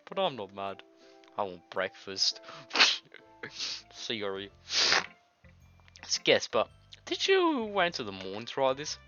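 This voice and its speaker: A man's voice: on the low side, huge pitch swings, takes it slowly, pauses often, pushed and bright. A man with a cold doing a casual, jokey let's-play, reading visual novel dialogue aloud with his own asides. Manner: jokey, giggly, informal. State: congested with a nasty cold, coughing. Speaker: video game let's-player. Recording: dull lo-fi mic with music behind. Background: game music.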